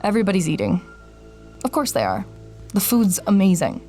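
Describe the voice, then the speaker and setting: A woman's voice: medium pitch, very animated, brisk, warm tone, soft background music, close to an everyday voice. A woman playing an anxious, suspicious traveller in an audio drama, hesitant and introspective as she acts and narrates. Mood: uneasy wonder. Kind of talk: audio drama acting with first-person narration.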